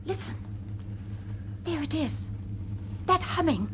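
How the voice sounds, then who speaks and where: An actress playing a young, inquisitive and apprehensive student in a radio drama, in a wondering, questioning manner. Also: female voice, sits high, lively intonation, slow pace, a little hiss or hum. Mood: hushed wonder.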